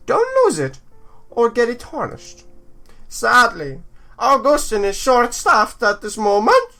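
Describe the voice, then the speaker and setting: A man voicing a bubbly, instructive female dig supervisor in a visual novel, with bright, emphatic delivery. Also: extremely high pitch, creaky, pitched above the performer's own voice, exaggerated voice.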